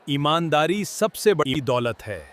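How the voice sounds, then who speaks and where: A man voicing a friendly, helpful vegetable in a children's cartoon, with an expressive, moralizing manner. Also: fairly high pitch, faint music behind. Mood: warm, earnest.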